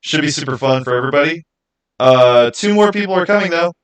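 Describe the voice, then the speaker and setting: A man's voice: a little high, expressive, fast. A man hosting a livestream, chatting informally with viewers and thinking aloud as he goes. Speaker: livestream host. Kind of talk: casual livestream chat with viewers.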